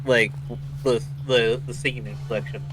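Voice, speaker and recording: A man's voice: medium pitch, fairly even intonation, a little slow. A man chatting casually during a game stream, rambling and often restarting his sentences. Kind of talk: casual chat during gameplay. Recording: noisy with hum.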